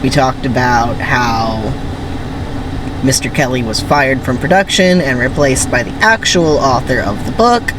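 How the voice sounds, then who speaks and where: A man's voice: medium pitch, measured pace, noisy background. A man giving casual, rambling commentary to viewers in a wry, conversational way with emphatic asides. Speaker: video creator introducing a dramatic script reading.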